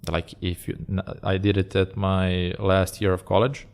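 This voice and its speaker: A man's voice: deep voice, level tone, normal speed, creaky. A man hosting an interview podcast, asking questions in a teasing, friendly way. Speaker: podcast host. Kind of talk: casual interview talk.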